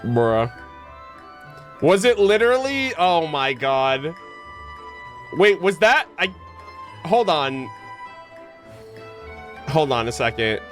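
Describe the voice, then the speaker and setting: A man with a slightly high voice talks at a slow, loose pace over music. He sounds like a casual game streamer, using slang and joking with his chat. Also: very animated, a bit bright. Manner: informal, slangy, joking with viewers. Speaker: live streamer.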